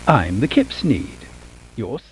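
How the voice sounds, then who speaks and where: Slow, sweeping storybook narrator played by a man. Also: mid-range voice, wildly swooping pitch, a little hiss or hum, low-quality recording. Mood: measured, ominous. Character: storyteller of a sinister children's tale, voicing a winged creature. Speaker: storybook narrator of an analog horror film.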